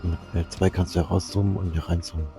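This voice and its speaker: A man's voice: sits low, flat delivery, fast talker. A man doing let's play commentary, reading game hints aloud. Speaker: let's player. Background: game music.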